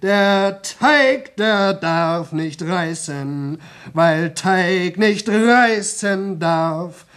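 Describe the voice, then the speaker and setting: A man's voice: sits high, expressive, extremely slow, a bit bright, full character voice. An actor playing a young man in a radio drama who talks nonstop for a record, rambling, repeating himself and slipping into singsong. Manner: rambling, repetitive, chatty, drifting into singsong. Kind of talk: 1970 German radio play monologue.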